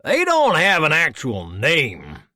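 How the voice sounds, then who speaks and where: A taunting, boastful male villain in a storybook, put on by a man reading aloud. The voice is pushed higher and louder than the reader's own, with wide, lively swings. Dry, close recording. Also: slow, dry recording, exaggerated voice. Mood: insistent. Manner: taunting, mocking.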